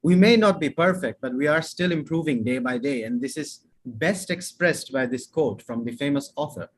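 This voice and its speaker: Husky-voiced young man giving a welcome speech. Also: a little high, moderate pace, poor audio. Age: young adult. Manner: earnest, formal address. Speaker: student speaker.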